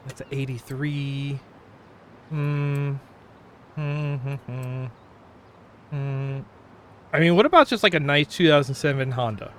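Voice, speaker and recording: A man chatting casually on a gaming livestream, thinking aloud in a halting way. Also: medium pitch, fairly bright tone, slight background noise. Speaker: game livestreamer.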